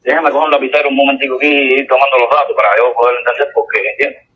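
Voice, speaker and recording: A man with a Cuban accent talking casually on the phone in a confused, halting, repetitive way. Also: mid-range voice, steady tone. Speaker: call recipient, driving test candidate. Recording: dull telephone line with faint noise.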